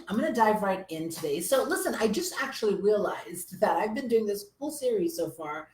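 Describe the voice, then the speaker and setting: A hoarse woman with a summer cold, a business coach chatting energetically to viewers on a live stream. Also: medium pitch, roomy sound. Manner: energetic, chatty, addresses viewers as you guys.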